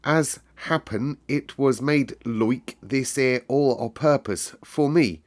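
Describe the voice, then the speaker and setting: A man voicing a dreamy young boy in a storybook who speaks with slow care. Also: mid-range voice, pitched above the performer's own voice, lightly acted. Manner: slow, careful dialect. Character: dreamy, careful young boy trying out Yorkshire dialect.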